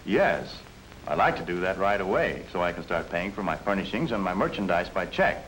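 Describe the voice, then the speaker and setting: An earnest aspiring store owner in a 1940s educational film. His voice is on the low side with animated inflection, polite and deliberate. The old soundtrack carries faint noise. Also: rough recording. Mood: careful, agreeable.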